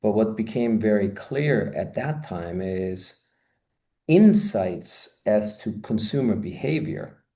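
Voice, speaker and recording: Mellow-voiced Austrian-born man on a video call. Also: lowish voice, animated, slow pace. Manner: expansive, rambling with many "you know" asides. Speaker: guest, marketing consultant. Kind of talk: conversational video-call interview. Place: home office. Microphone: video call, webcam.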